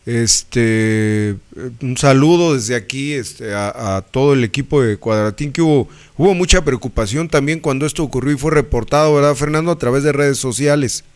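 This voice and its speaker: A man, presenting radio news from a studio mic in a measured, emphatic way. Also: medium pitch, smooth. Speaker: news anchor. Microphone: studio mic on arm.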